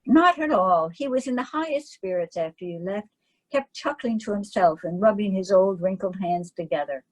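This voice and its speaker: A woman reading a teasing, amused gentleman. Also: mid-range voice, normal speed, natural voice, poor audio. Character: amused, worldly young gentleman painter.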